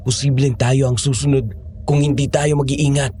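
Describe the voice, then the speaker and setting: An earnest man with a medium-pitched voice an actor in the part, playing a monster hunter undercover as a cook. He sounds guarded, as in a horror radio play, over faint noisy ambience. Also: flat delivery, normal speed, dark tone, lightly acted. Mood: grave, confiding. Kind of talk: Tagalog horror audio drama acting.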